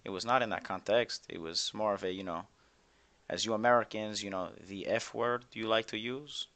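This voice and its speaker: A man's voice: lowish voice, steady tone, moderate pace, a bit bright. A man roleplaying a defendant, telling his side of the story in court in a rambling way with lots of fillers. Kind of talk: casual roleplay testimony.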